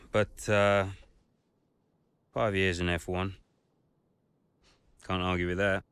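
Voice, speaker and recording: A tired proud ex race driver, deep smooth voice, close and dry, subdued, game cutscene. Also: male voice, level tone, a bit bright, dry recording. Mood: wistful, defiant. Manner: halting, subdued. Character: weary, proud retired Formula One driver.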